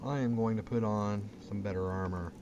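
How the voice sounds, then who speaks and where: A man's voice: on the low side, steady tone, normal speed, muffled recording. A man giving casual, plain-spoken commentary as he hosts a gaming video. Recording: clear background game noise. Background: game ambience.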